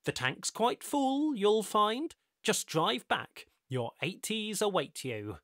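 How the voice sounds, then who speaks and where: A man voicing a calm, courteous godlike male overseer with smooth, measured, faintly weary politeness. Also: high-pitched, no echo, clean studio sound, voice pushed up, exaggerated voice.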